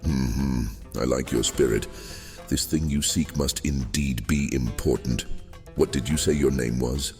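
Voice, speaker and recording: A man playing an old, fading captive djinn in a fantasy audio drama, courteous and wheedling, with silky theatrical delivery and hesitant pauses. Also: a bass voice, a little mellow. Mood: wheedling, crafty. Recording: long cavernous reverb, music underneath. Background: music.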